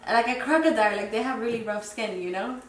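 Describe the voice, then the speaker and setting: Soft-spoken young woman, echoey room. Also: a little high, quick pace. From Dominican Republic. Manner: hesitant, many fillers, soft-spoken reflective. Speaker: guest. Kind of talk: casual interview chat. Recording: roomy echo, mic at a distance, faint noise.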